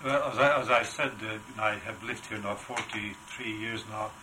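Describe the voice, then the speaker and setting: An older man with a heavy Hungarian accent, answering interview questions in a halting way with many filler sounds. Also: lowish voice, steady tone, noisy background. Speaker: wood carver, interview guest. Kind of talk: casual interview.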